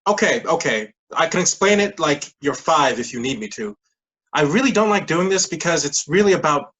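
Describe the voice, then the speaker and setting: An actor as a husky, condescending game leader. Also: mid-range voice, animated, normal speed, a bit bright. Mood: patronizing. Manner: patronizing, defensive. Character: condescending, stubborn game leader refusing refunds. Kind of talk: staged play reading.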